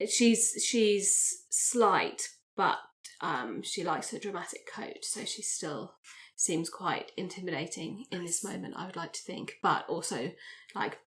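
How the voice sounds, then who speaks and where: A woman's voice: lowish voice, takes it slowly, a bit bright, low-quality recording. A woman player casually improvising character details in a roleplay podcast, tentatively thinking aloud.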